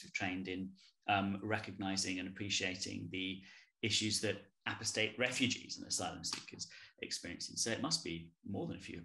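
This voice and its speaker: A man hosting an interview, speaking in a measured, thoughtful way with small hesitations. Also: fairly low pitch, sharp tone. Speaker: host. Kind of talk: conversational interview. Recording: roomy echo.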